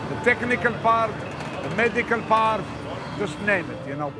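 A man's voice: high-pitched, expressive, moderate pace. A man working as a provisions manager, explaining and giving instructions on the job in blunt, short phrases. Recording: location sound with music underneath. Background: music.

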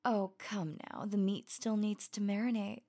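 A woman voicing an affectionate, teasing, possessive werewolf lover in intimate ASMR roleplay, soft and breathy, often slipping into a whisper. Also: mid-range voice, fairly even intonation. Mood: sultry, playful. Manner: slow, sultry, playful murmuring.

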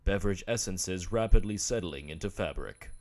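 A man's voice: sits low, moderate pace, a little mellow. A man playing an earnest, deadly serious businessman in a web comic dub, speaking in clipped, telegraphic phrases. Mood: grave, urgent. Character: earnest businessman dictating clipped, solemn text messages.

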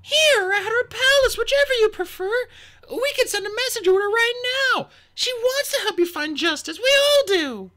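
A woman's voice: extremely high pitch, very animated, measured pace, bright, forceful tone, pitched above the performer's own voice, exaggerated voice. A woman voicing an earnest young female dragon with a pleading manner in a storybook. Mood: desperate.

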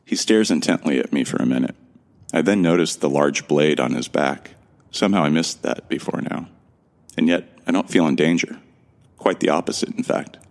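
A man's voice: extremely low pitch, normal speed. A man narrating an audio drama in the first person, earnest and bewildered. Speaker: narrator and lead actor.

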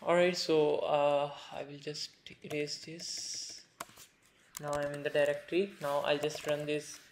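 A young man with an Indian accent, a native Hindi speaker, walks through a programming project at his computer. His voice sits slightly high and stays flat in pitch. He explains plainly and often pauses on uh. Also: slight background noise. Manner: plain, explanatory, frequent uh fillers. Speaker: programming tutor. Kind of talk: screen-recorded coding walkthrough.

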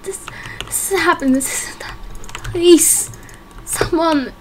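A woman's voice: very high voice, a little slow, a little mellow, a little hiss or hum, pitched above the performer's own voice. A woman voicing a frightened young boy in an amateur game roleplay, hesitant, stammering and pleading. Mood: panicked, desperate.

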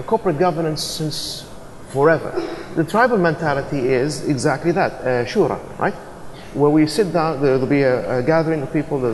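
A middle-aged man speaking on a business conference panel, earnest and halting with fillers. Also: fairly high pitch, a little mellow, in a large hall, a little hiss or hum, poor audio. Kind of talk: panel discussion. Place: large conference hall stage.

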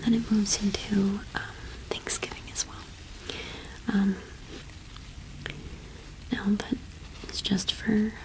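Slow whispering young woman. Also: mid-range voice, flat delivery, poor audio. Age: young adult. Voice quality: breathy whisper. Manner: slow, gentle, unhurried. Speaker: ASMR roleplay performer playing a shopkeeper witch. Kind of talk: ASMR roleplay, casual shop chat. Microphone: close microphone.

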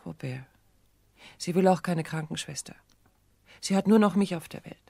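A woman's voice: fairly low pitch, normal speed, creaky, warm tone, slightly rough. A woman playing a self-sacrificing, embittered grown daughter in a radio play, with a restrained manner. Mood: weary, pleading.

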